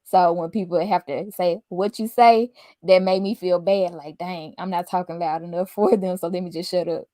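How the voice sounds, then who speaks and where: A soft-spoken woman hosting a podcast, chatting casually and laughing often. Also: on the low side, steady tone, moderate pace, dry recording, clean studio sound. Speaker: podcast host. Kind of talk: casual chat.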